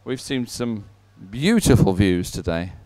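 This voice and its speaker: A man's voice: lowish voice, animated, measured pace, darkish tone, no room sound. A man commentating live on a sporting event and reading out results in a chatty, ad-libbed way.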